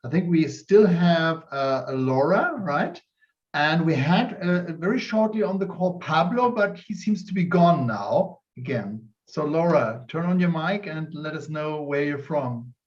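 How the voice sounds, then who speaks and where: A man's voice: a little high, animated, unhurried. A man informally moderating an online meeting as host, recorded over a video call. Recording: slightly dull video-call audio.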